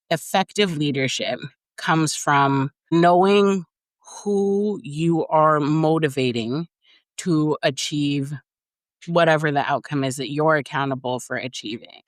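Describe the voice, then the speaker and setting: A co-host on a podcast, talking in a reflective, measured way, with plenty of "like" and "mm-hmm". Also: medium pitch, fairly bright tone, no room sound. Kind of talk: casual conversation on leadership.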